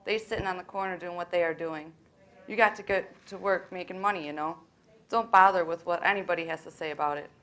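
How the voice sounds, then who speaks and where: A woman's voice: lowish voice, fairly even intonation, fast talker, a bit bright, close to an everyday voice. A young woman acting out scripted monologue lines in a student readers theater show. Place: college library event. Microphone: worn microphone.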